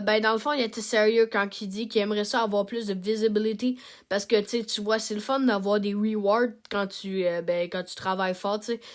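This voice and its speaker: A woman's voice: mid-range voice, voice pushed down, lightly acted. A young woman doing a mumbling laid-back cartoon boss. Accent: Québécois French. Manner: rambling, full of fillers, slangy.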